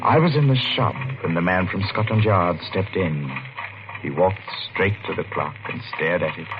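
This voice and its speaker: An actor playing a haunted, brooding middle-aged clockmaker in a 1940s radio mystery. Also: male voice, low voice, normal speed, background noise, natural voice. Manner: brooding, hesitant in conversation. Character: haunted, vengeful middle-aged London-born clockmaker, narrating his story. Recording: dull old radio recording, loud music.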